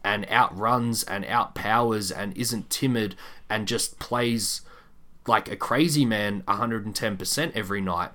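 Man, lowish pitch, sports podcast co-host, casual, measured, analytical, candid. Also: bright, forceful tone. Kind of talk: casual basketball discussion.

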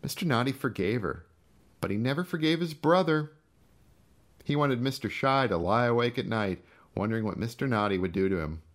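A man's voice: medium pitch, lively intonation, moderate pace, voice pushed up, natural voice. A man voicing a vengeful, sadistic storybook character who taunts his helpless brother with mocking, menacing questions. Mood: taunting, bitter. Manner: mocking, menacing, sing-song rhetorical questions.

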